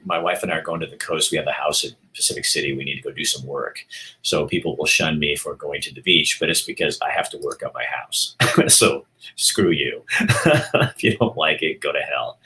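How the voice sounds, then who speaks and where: A man's voice: rumbling low, steady tone, normal speed, bright, forceful tone, poor audio. A middle-aged man from Oregon hosting a casual live stream, talking candidly to viewers and thinking aloud as he rambles. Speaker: show host.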